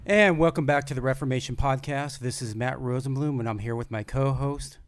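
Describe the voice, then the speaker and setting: A man's voice: medium pitch, a little fast. A man hosting a podcast, welcoming a guest in an upbeat, friendly way. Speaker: podcast host. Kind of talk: casual podcast interview.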